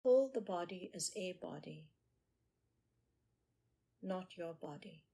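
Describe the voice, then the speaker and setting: A woman meditation teacher leading a guided meditation in short, measured phrases with pauses between them. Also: fairly low pitch, animated, mellow, slightly rough.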